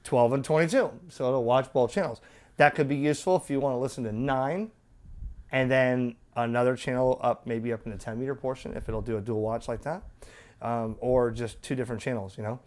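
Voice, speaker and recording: A man's voice: mid-range voice, steady tone, a little fast, darkish tone. A man presenting a casual, off-the-cuff hands-on product demo, conversational and opinionated, often tossing in rhetorical questions.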